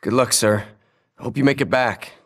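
An actor playing a weary, doubtful military grunt in a conversational video game scene. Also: male voice, medium pitch, normal speed, a bit bright, breathy edge, recorded from a distance.

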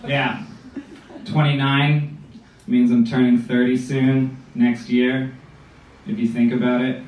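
American man, late twenties, nasal stuffy voice, flat deadpan stand-up, distant club recording with loud crowd noise. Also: medium pitch, takes it slowly, dull audio. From United States. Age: 20s. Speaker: stand-up comedian. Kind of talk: stand-up comedy set. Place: small comedy club, indoors, with audience. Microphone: handheld mic, recorded from the crowd. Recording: roomy audience phone recording from far off. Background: audience laughter.

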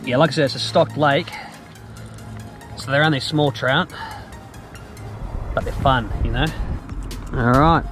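A man's voice: medium pitch, unhurried, long pauses, fairly bright tone, faint music behind. A man in his thirties with a New Zealand accent, talking casually and enthusiastically to an action camera while fishing from a kayak on a lake. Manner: laid-back, slangy and enthusiastic. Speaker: fishing vlogger. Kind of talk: casual outdoor vlog. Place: outdoors on a lake in a small kayak. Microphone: action camera mounted on the kayak.

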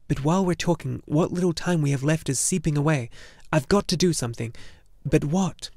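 Young boy warrior, earnest and pressed for time, lifted pitch, read by a man. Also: brisk, gravelly, a little mellow, no echo, studio quality.

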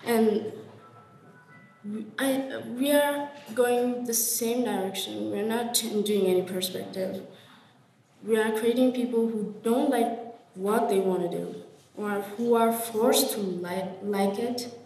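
An earnest young woman from Ethiopia answers questions, often pausing to find her words. Her voice is mellow and fairly high, heard from some distance across an echoey classroom with faint hum. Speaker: student journalist. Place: classroom workshop, indoors.

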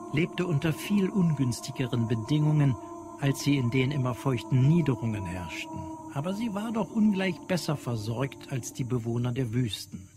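A man narrating scripted literary prose in a measured, precise way. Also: medium pitch. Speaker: audio drama narrator. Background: prominent music.

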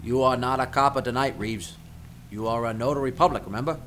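A man's voice: mid-range voice, a little fast, a bit bright, a little hiss or hum, close to an everyday voice. Commanding federal agent played by a man. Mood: stern. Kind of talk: old-time radio acting.

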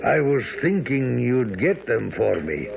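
Gravelly sly villain. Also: male voice, medium pitch, sing-song, measured pace, heavily acted. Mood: coaxing. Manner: wheedling, chummy. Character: sly scheming British lowlife villain recruiting thugs. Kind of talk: radio drama acting. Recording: muffled narrow-band old radio broadcast, crowd behind.

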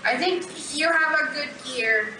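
A woman's voice: high voice, unhurried, bright, forceful tone, far from the mic. A young woman chatting casually from home over a video call. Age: young adult. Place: indoor home room with gaming computers. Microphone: webcam video call. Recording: echoey room, faint noise, video call.

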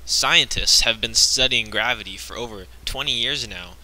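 A young man giving deadpan mock-serious documentary narration for a comedy video. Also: mid-range voice, normal speed, rough recording.

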